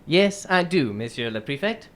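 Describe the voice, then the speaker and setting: Woman as low-voiced, composed detective. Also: expressive, moderate pace. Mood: cool, composed. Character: composed male English detective, cool under accusation. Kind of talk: student radio drama acting.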